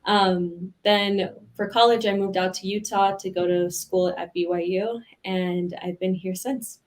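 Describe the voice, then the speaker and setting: A woman's voice: mid-range voice, fairly even intonation, unhurried, darkish tone. A young woman from Mexico, raised in California, talking in a friendly, easygoing way as an interview guest. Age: young adult. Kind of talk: casual interview answers.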